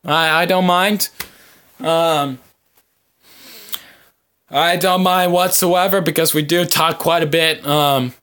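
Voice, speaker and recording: A man hosting a podcast, talking casually to his viewers in a rambling, halting way with long hesitant pauses. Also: high voice, fairly bright tone. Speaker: podcast host.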